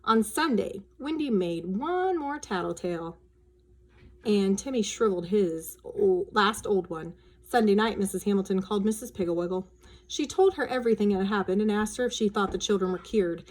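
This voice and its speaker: Female storyteller for a children's book read-aloud, expressive, changing her voice for dialogue. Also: medium pitch, moderate pace.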